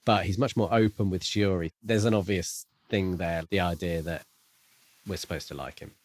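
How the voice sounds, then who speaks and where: A man co-hosting a casual book discussion, speaking in a measured, reflective way. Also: deep voice, fairly even intonation, no room sound. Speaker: podcast co-host.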